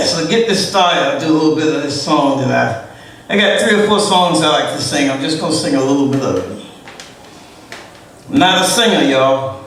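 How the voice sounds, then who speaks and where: Loud, emotional woman poet in an echoey room. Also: deep voice, animated, normal speed, slight background noise. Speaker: spoken-word poet. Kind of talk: spoken-word poetry performance with talk between pieces. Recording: echoey room, distant mic.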